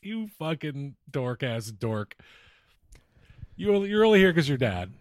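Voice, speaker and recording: A man's voice: on the high side, very animated, slow, dry recording. A man co-hosting a comedic sports podcast in casual chat, with a mocking, profane streak. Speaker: podcast host.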